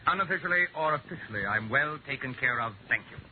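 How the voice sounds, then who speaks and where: A man's voice: medium pitch, expressive, quick pace, close to an everyday voice. An actor playing a curt, imperious wealthy older man in a 1950s radio drama. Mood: grudgingly civil. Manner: gruff, clipped, haughty. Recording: tinny 1950s radio recording, faint noise.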